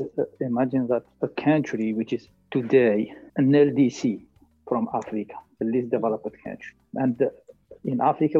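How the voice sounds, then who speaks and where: Husky Algerian man on a phone-like line. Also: mid-range voice, dry recording. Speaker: climate policy consultant, interview guest. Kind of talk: explanatory interview answers. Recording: thin phone-like call audio, faint music.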